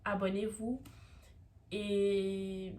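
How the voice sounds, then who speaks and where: An eighteen-year-old woman from Ivory Coast with an Ivorian French accent, talking to the camera in a casual vlog and often pausing to search for words. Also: mid-range voice, flat delivery, a little mellow, poor audio. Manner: hesitant, searching for words, many fillers. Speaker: YouTuber.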